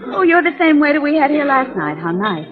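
A woman's voice: high-pitched, big pitch swings, a little fast. An actress playing a detective's pert, teasing female companion, playful and skeptical in 1940s radio style. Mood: chatty. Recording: tinny, reverberant 1940s radio recording.

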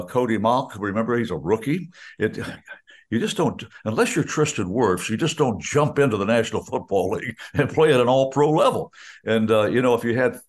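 An older man, a radio play-by-play announcer, chatting about football in a folksy, rambling way with many restarts. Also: on the low side. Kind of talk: rambling radio sports chat.

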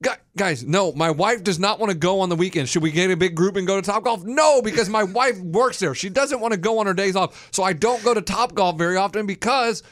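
Loud, emphatic high-voiced man. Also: no room sound, studio quality. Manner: emphatic, loud, acts out quick quoted exchanges. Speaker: radio show co-host. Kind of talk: casual banter on a sports radio show.

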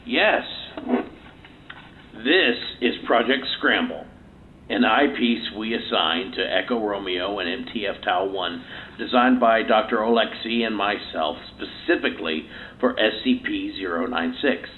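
Man as defensive scientist, low tinny voice. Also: unhurried, lightly acted. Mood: proud, dry. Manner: curt, defensive, self-assured. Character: defensive, proud male research scientist under questioning. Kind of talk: audio play interview acting. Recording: tinny phone-like recording, faint noise.

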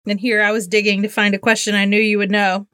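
A woman's voice: mid-range voice, little pitch movement, fast talker, a bit bright, clean tone, no echo. A woman co-hosting a casual trivia game, chatting and bantering in a playful, giggly way. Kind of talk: casual bantering quiz chat.

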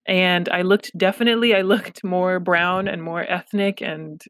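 A woman's voice: lowish voice, steady tone, a little slow, fairly bright tone, dry recording, studio quality. A woman therapist from Los Angeles, a Pashto speaker, talking candidly and reflectively with self-deprecating humor in a conversational interview. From Los Angeles, United States. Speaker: therapist, guest.

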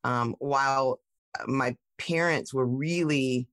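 A woman tech founder telling her story in a casual interview, animated, with many fillers and restarts. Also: extremely low pitch, slow pace, no room sound. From United States.

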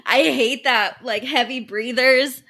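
Female podcast co-host, higher voice, eager and profane casual chat. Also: slow pace, sharp tone. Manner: enthusiastic, profane, laughs a lot.

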